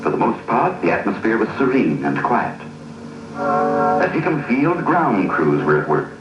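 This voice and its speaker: Old 40s documentary guy narrating, formal n dramatic, swooping pitch, noisy muffled sound. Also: sing-song, a little slow, reverberant, far from the mic. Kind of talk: 1940s documentary scripted narration.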